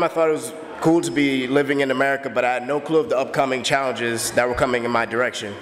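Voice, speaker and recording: A man in his early twenties from the Bahamas, earnestly telling his own story as a steady personal testimony. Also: medium pitch, long reverb tail, a little hiss or hum. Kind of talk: personal testimony, read aloud.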